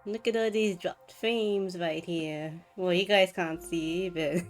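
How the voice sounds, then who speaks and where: A woman's voice: lowish voice, fairly even intonation, slow. A woman livestreamer chatting casually to viewers as she hosts a game stream. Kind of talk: casual live stream chat. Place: live game stream from an indoor setup. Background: game music.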